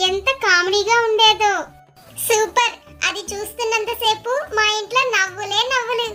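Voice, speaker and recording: A tiny, very high-pitched schoolgirl from a cartoon story, chatty and competitive. The voice is piercingly bright and childlike, with music playing behind it. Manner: childlike, gossipy. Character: chatty, competitive hostel schoolgirls, scheming friends. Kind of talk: acted cartoon story dialogue.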